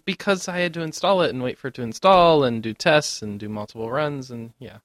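A man's voice: medium pitch, brisk, fairly bright tone, dry recording, studio quality. A man hosting a casual gaming podcast, bantering with the panel in conversational speech. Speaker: podcast host.

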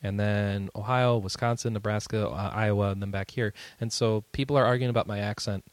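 A man's voice: lowish voice, steady tone, fairly quick, no echo. A man with a Midwest accent hosting a casual podcast, chatty and reading out comments from the chat room. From upstate New York and the Midwest. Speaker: podcast host.

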